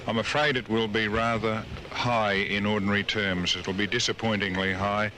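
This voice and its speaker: A man's voice: fairly low pitch, little pitch movement, moderate pace. A man with an Australian accent speaking formally as a police inspector in a field interview. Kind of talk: official statement in field interview. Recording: noisy outdoor field tape.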